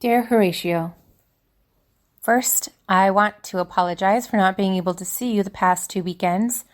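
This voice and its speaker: A woman acts out a young female spellcaster confiding by letter in a fiction podcast. Her voice is mid-pitched, her manner earnest and apologetic. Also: moderate pace, natural voice.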